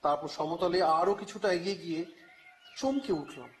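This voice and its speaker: An actor playing a wry, grumbling reporter who narrates his own detective adventure in an expressive, dramatized audio story. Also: fairly high pitch, normal speed, lightly acted. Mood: tense, exasperated. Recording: music bed under the voice.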